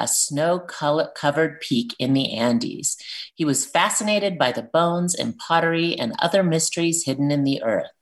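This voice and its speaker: A woman author talking earnestly about her children's book and reading from it aloud. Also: sits low. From Peruvian family, living in Arizona.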